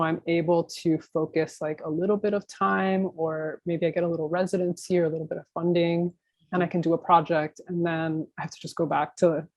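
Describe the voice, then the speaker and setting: An American woman answers interview questions in an informal, meandering way. Her voice sits a little low. The sound is very dry, with hardly any room in it, as she thinks aloud like an artist chatting about work. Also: warm tone, dry recording. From Miami, United States. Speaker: interview guest, new media artist. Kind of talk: casual interview conversation.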